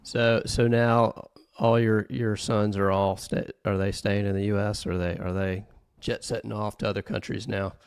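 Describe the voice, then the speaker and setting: A male interviewer with a lowish, gravelly yet resonant voice. He asks his questions haltingly and restarts his words. His pitch sits below most men's. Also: flat delivery. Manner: hesitant, repeats words as he asks. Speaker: podcast host.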